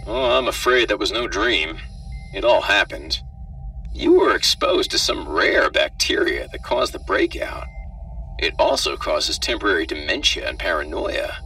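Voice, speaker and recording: A man's voice: low-pitched, lively intonation, a little slow, bright, forceful tone, far from the mic, faint music behind, voice pushed up, heavily acted. A man voicing a callous, flippant government doctor in a hazmat suit, with a robotic, matter-of-fact delivery in a horror story. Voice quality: robotic, muffled through a suit. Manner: matter-of-fact, darkly joking.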